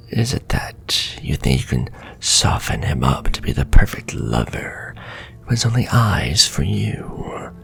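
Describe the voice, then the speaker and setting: A man voicing a sly, sadistic warlock villain in a flirtatious ASMR fantasy roleplay, with a slow, teasing, half-whispered delivery. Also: extremely low pitch, vocal fry, sharp tone, heavily acted. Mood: menacing seduction.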